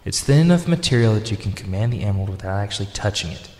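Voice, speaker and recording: A man plays a young male echidna guardian, bossy and commanding as he gives orders. The voice sits low and rings in an echoey room with long reverb, recorded for an amateur radio drama. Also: animated, racing, a little mellow, close to an everyday voice. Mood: earnest.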